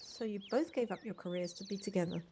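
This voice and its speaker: An actress playing a gentle, sympathetic visitor who listens and asks tentative questions in an audio play. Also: female voice, mid-range voice, quick pace, natural voice. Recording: noisy home recording on personal device.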